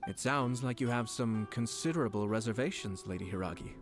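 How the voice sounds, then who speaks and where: A man voicing a calm, shrewd nobleman in a game, speaking in a formal, measured and tactful way. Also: medium pitch, music in the background, natural voice. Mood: composed, courteous. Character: courteous, shrewd nobleman, calm and diplomatic commissioner. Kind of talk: scripted game dialogue.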